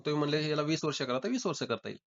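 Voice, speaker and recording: A man's voice: on the high side, animated, rapid-fire, gravelly. A man arguing insistently in an informal phone debate, often repeating himself. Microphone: recorded phone call. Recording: dull lo-fi call recording.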